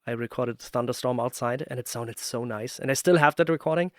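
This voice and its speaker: A man's voice: medium pitch, fairly quick, fairly bright tone, no echo, studio quality. A man hosting a podcast, chatting casually and searching for his words as he tells stories. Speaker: podcast host. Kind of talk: casual interview chat.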